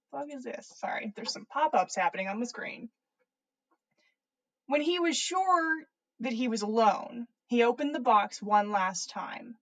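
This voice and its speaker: A woman with a fairly high voice reads a story aloud as a livestream host. Her delivery is informal narration, with the casual feel of a podcast. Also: low-quality recording. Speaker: podcast host reading stories.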